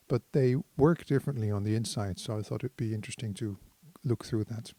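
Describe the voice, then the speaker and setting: A man giving a casual hands-on tutorial as a synthesizer demonstrator, thinking aloud in an unhurried, explanatory way. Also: on the low side, noisy background.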